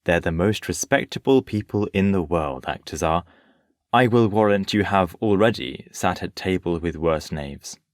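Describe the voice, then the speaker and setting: Man playing a deep-voiced, urbane nobleman. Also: normal speed, no echo, clean studio sound, lightly acted. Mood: patronising. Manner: urbane, patronising. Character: worldly, amused, unruffled Parisian nobleman. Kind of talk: audio play acting.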